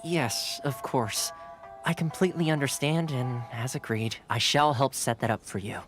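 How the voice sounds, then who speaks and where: A man's voice: mid-range voice, normal speed, over music, lightly acted. A man playing an easygoing, affable young retainer in an anime video game, polite and breezy in his speech. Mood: polite, measured.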